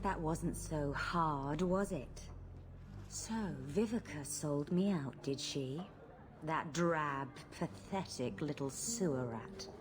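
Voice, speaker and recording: An actress playing a seductive, manipulative blood mage courtesan in a video game. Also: female voice, deep voice, slow. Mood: sultry, menacing. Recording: game audio with music behind.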